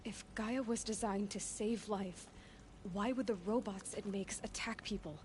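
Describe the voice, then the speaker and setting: A woman's voice: mid-range voice, steady tone, measured pace, background noise, lightly acted. An actress playing a determined young woman hunter in a video game, speaking directly and asking pointed questions. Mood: curious. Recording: game audio over music.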